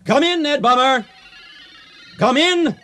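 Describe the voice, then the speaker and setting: A man's voice: extremely high pitch, expressive, takes it slowly, sharp tone, slight background noise, exaggerated voice. A man acting as a theatrical, showman-like narrator of a science fiction radio drama. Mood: mournful, announcing. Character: folksy, theatrical storyteller presenting a space tale.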